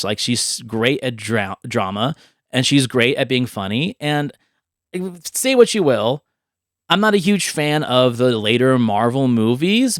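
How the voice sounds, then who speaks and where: A man speaks as a podcast host in a very dry, close recording. His pitch sits in the middle of the male range. He chats casually about movies and spins ideas out with vivid, animated storytelling. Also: dry recording.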